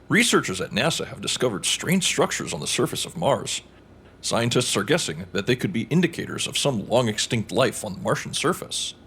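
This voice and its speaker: An earnest male radio host, played by an actor in an audio drama. His pitch moves in a lively way and his voice is a little husky. He chats through the news with curiosity. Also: heavily acted.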